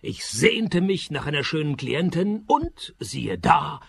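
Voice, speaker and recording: A man's voice: on the high side, big pitch swings, takes it slowly, creaky, breathy edge, no room sound, heavily acted. An actor playing a dry, sarcastic male private detective who acts and narrates in a radio play. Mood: wry, weary. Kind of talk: radio play acting with first-person narration.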